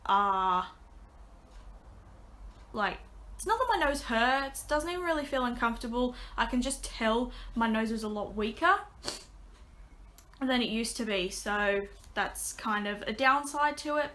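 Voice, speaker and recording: A young woman chatting casually to her webcam from a small bedroom, her voice a little raspy, full of ums and restarts. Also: fairly high pitch, a little slow, long pauses, pushed and bright. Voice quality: slightly raspy. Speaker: vlogger. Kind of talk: casual vlog update. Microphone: webcam at desk.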